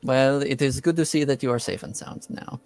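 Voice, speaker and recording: A man voicing a composed, businesslike female assassin in a tabletop game, with formal, measured phrasing. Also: medium pitch, gravelly, darkish tone, close to an everyday voice. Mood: composed approval.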